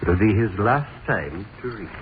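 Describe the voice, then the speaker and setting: A man's voice: on the low side, lively intonation, moderate pace, close to an everyday voice. A man playing a kindly, resigned prison warden, matter-of-fact and conversational, in 1930s radio style. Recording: muffled, faintly hissy 1930s radio recording.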